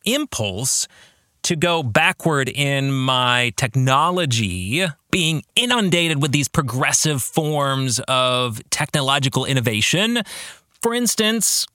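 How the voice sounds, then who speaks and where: A man hosting a reflective solo podcast, speaking in deliberate, emphatic phrases. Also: a little high, pushed and bright, no echo, clean studio sound. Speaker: podcast host and author.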